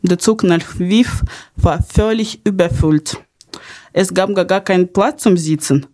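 A woman's voice: lowish voice, unhurried, warm tone. Young Ukrainian-accented woman hosting a podcast. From Kharkiv, Ukraine. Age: young adult. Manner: non-native German with small grammar slips. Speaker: podcast host. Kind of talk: podcast narration of personal experience.

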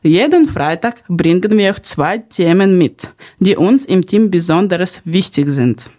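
A woman's voice: lowish voice, expressive, a little slow, warm tone. Young Ukrainian-accented woman hosting a podcast. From Kharkiv, Ukraine. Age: young adult. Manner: non-native German with small grammar slips. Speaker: podcast host. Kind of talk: podcast narration of personal experience.

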